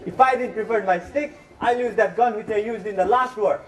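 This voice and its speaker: Man acting a high-voiced, bitter old father, far-off mic. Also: fairly quick, close to an everyday voice. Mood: indignant. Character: aging, put-upon father, bitter and self-pitying. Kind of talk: amateur stage play acting. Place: school stage performance, recorded from a distance. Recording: distant mic, noisy hall recording.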